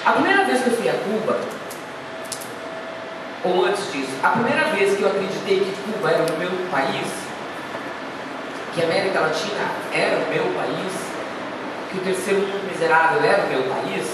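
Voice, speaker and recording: A woman's voice: lowish voice, lively intonation, normal speed, pauses often. A woman giving a chatty, anecdotal talk to an audience, with self-deprecating asides. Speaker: guest speaker. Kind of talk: informal lecture. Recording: echoey hall, speaker far from the mic, noisy.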